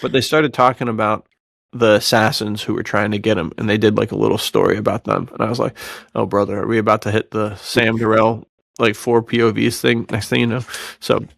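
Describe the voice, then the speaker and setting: A man's voice: on the low side, flat delivery, a little fast, gravelly, fairly bright tone, no room sound. A man co-hosting a podcast in casual chat, with a laid-back, joking way of talking full of bro slang. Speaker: podcast co-host. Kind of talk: casual chat about books and films.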